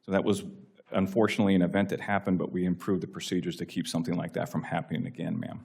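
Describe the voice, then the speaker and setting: Deep-voiced, flat-toned American man testifying. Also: vocal fry, husky. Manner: deferential, measured explanatory phrasing. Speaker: aviation agency official testifying. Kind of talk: formal testimony. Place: legislative hearing room.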